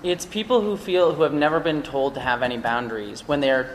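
A man's voice: fairly high pitch, fast, a little hiss or hum. A man on a podcast panel, speaking earnestly in a rambling way with many fillers. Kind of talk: casual panel discussion. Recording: echoey live room, long reverb.

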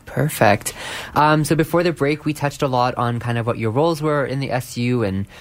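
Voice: mid-range voice, normal speed. A campus radio host introducing guests in a loose, self-deprecating way with many hesitations.

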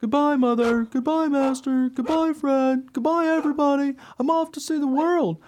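A man's voice: very high-pitched, moderate pace, a little hiss or hum. A man playing a friendly, chatty young-hearted dog who tells his own life story earnestly in a radio play. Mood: excited. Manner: earnest, excitable, naive storytelling. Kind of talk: scripted radio play narration.

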